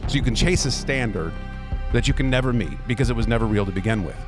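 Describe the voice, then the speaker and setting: A man's voice: medium pitch, lively intonation, fast talker, lightly acted. A man playing a podcast host who exposes conspiracies, questioning pointedly and explaining earnestly in a scripted drama. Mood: grave, earnest. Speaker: interview show host. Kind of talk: scripted interview and investigative commentary. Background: music bed.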